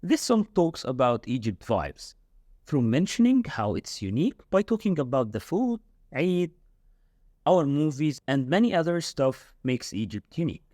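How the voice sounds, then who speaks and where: Lively man teaching. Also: on the high side, no room sound, studio quality. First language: Arabic. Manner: explains slowly and repeats words. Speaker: Modern Standard Arabic tutor. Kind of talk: casual language lesson.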